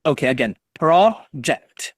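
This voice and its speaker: A man's voice: fairly high pitch, lively intonation, takes it slowly, dry recording, clean studio sound. A man teaching an online English class over a video call, patiently drilling students on pronunciation and encouraging them.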